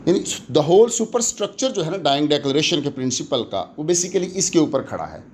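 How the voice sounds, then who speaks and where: A man's voice: a little high, big pitch swings, moderate pace, slight background noise. A man with a Pakistani accent giving an explanatory lecture in a didactic way, switching between two languages and posing questions that he then answers. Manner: didactic, mixes Urdu and English, poses questions then answers them. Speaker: law lecturer. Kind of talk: explanatory exam-prep lecture.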